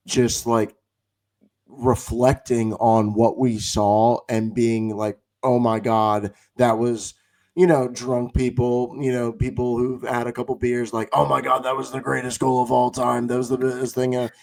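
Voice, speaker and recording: A man on a fan podcast with a hoarse voice, rambling in casual chat with many "you know" fillers. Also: mid-range voice, darkish tone. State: hoarse from yelling.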